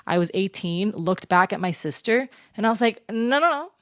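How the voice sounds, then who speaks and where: A woman's voice: mid-range voice, moderate pace, dry recording, studio quality. Chatty young woman vlogger. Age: 20s. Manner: chatty, animated, filler-heavy with lots of "like". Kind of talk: casual sit-down chat to camera.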